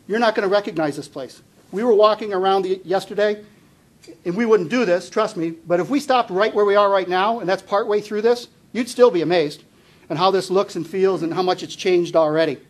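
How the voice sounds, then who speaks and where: A man hosting a press event, giving an emphatic, enthusiastic promotional presentation. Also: high voice. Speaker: sports executive hosting a press conference. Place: live press event at an arena.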